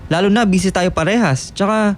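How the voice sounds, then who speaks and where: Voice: mid-range voice, fairly quick, slight background noise, lightly acted. A performer playing an aloof, irritated young male college student in a radio drama, curt and dismissive. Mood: cool, dismissive. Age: young adult. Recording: music bed under the voice.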